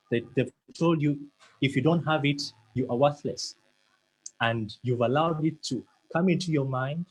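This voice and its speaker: A man's voice: a little high, husky, poor audio. A man giving a motivational talk, earnest and emphatic, who stammers and repeats words now and then. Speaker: motivational speaker.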